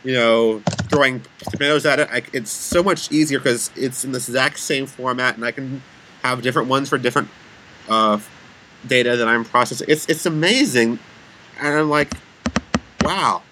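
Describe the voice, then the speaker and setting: A man with a bright, forward voice talks through a programming idea in a loose, rambling way, often laughing at himself. A faint hiss sits under his voice call audio. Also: medium pitch, moderate pace, poor audio. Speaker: podcast co-host. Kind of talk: casual tech chat.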